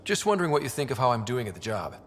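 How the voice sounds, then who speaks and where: A man's voice: mid-range voice, sing-song, rapid-fire, fairly bright tone, in a large hall, a little hiss or hum. A man playing an earnest, capable young expedition leader in a science fiction video game, straightforward in manner. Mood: casual.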